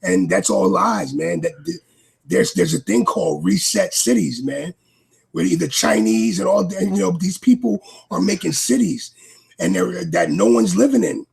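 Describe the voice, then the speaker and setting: A man's voice: sits high, sing-song, moderate pace, vocal fry, a little mellow, breathy edge, rough recording. A man from New York with a New York accent, rambling animatedly in a casual chat as a podcast guest.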